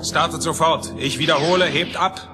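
Man playing brisk captain, loud music behind. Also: a little high, natural voice. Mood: urgent, commanding. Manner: clipped, commanding. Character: decisive, brave male spaceship captain. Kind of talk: dubbed sci-fi radio play acting.

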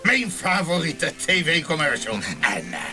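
An actor playing a fawning, scheming motel keeper in a Dutch radio play, with hesitant, obsequious sales patter. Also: male voice, on the high side, very animated, measured pace. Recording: music behind the voice.

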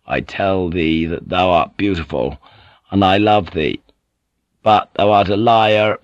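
A man's voice: low voice, takes it slowly, no echo, muffled recording. A man voicing a blunt, probing, passionate foreign sailor, speaking directly and insistently in audiobook dialogue. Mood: stern, affectionate. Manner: direct, insistent, at times archaic phrasing.

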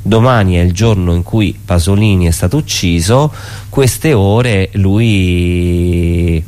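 A man being interviewed as a theatre performer, speaking spontaneously and haltingly, often correcting himself as he thinks aloud. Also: low voice, a little mellow.

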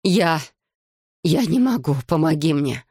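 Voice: mid-range voice, animated, a little fast, dry recording, studio quality, close to an everyday voice. A narrator voicing a defiant, cornered female agent in a dramatic audiobook thriller. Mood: weak, pleading. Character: defiant, cornered woman agent, later gasping and dying.

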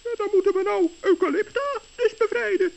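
An actor voicing a small, kind-hearted, anxious gnome in a children's radio play, with a squeaky, stammering delivery. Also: a little fast. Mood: anxious, surprised. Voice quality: squeaky, creaky. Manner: stammering, worried. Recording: dull old radio recording.

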